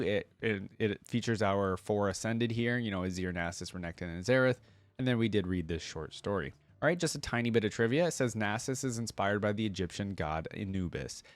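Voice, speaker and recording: A man's voice: on the low side, dry recording. A man hosting a reaction video, casually reading aloud and commenting as he thinks things through.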